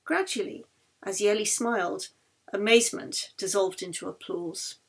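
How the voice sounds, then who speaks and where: A woman reading a novel aloud as its author, in an expressive storytelling manner that brings the dialogue to life. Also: mid-range voice, moderate pace, vocal fry, slightly rough. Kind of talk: scripted narration, audiobook reading.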